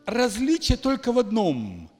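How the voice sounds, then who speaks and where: A man preaching a charismatic sermon to a congregation, emphatic, swinging from hushed lines to shouted exclamations. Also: very high-pitched, wildly swooping pitch, a little slow, soft background music. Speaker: pastor. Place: church hall. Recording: long hall reverb.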